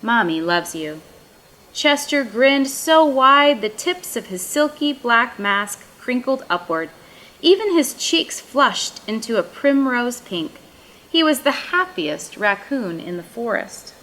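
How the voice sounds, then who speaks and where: A woman's voice: high-pitched, lively intonation, takes it slowly, sharp tone, low-quality recording. Woman reading a children's story expressively. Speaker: storybook reader.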